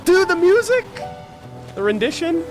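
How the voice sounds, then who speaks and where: A man streaming a game with casual live reactions, speaking in a slangy, expressive, exclamatory way. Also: very high-pitched, normal speed. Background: game music.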